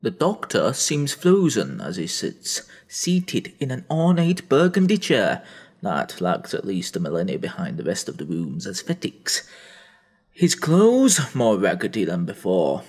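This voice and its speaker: A man narrating a dramatic audio story in a theatrical, brooding manner, with heavy pauses. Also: lowish voice, huge pitch swings, a little mellow, husky.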